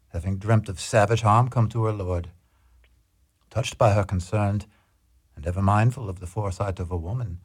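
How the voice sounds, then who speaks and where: A man playing a proud, stately Roman ruler in an amateur radio drama, speaking in formal, declamatory Shakespearean phrases with a husky voice. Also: on the low side, a little mellow.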